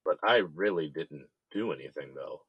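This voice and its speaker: Actor as a very deep, guarded jailed man. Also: lively intonation, normal speed, husky, no echo, lo-fi sound, full character voice. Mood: defensive. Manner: terse, guarded. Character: guarded, bitter man jailed and feeling betrayed. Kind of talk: audio drama acting.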